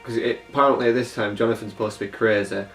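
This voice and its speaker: Fast-talking man, distant in an echoey room. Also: fairly low pitch, fairly even intonation, a bit bright. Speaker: co-host of a review discussion. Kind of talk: casual chat reviewing an audio drama. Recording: echoey room, distant mic, faint music behind. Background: faint music.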